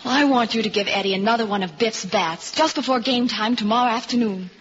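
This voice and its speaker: A woman plays an earnest young woman in a vintage radio play. Her voice is high and girlish. The old recording is muffled and clearly noisy. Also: fast, close to an everyday voice. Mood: anxious, hopeful. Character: anxious but cheeky, earnest young woman. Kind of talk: 1950s radio drama acting.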